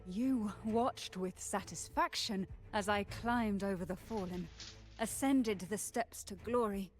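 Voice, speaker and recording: An actress playing an enigmatic, composed noblewoman with mystical powers in a video game, measured and formal. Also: mid-range voice, faint music behind, close to an everyday voice. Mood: poised, mocking. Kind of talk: dramatic video game voice acting.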